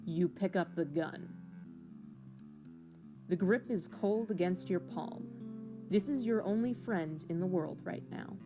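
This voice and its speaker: A woman's voice: lowish voice, takes it slowly, pauses often, music in the background. A woman reading scripted second-person story narration in a mock hard-boiled style, with wry asides.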